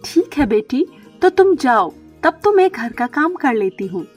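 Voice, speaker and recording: Woman voicing a high-voiced, fussing old mother bird. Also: very animated, unhurried, soft background music, exaggerated voice. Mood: caring, worried. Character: caring, worried elderly mother bird. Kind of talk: Hindi children's cartoon story dialogue.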